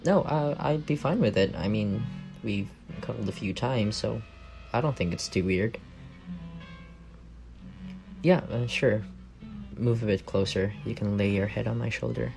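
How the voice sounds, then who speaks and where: A man's voice: fairly low pitch, vocal fry. A young man playing an affectionate, teasing roommate with a secret crush in a casual, intimate ASMR roleplay. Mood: caring, slightly shy. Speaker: voice actor in audio roleplay.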